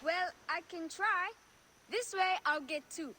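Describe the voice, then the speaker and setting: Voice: very high voice, takes it slowly, pushed and bright, slight background noise, heavily acted. An actor voicing an eager, trusting young boy in a video game cutscene, with music behind the voice. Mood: playful, eager. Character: eager, trusting young boy learning to hunt with his father.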